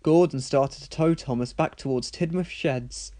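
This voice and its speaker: Higher-voiced man narrating a children's story. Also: normal speed, mellow.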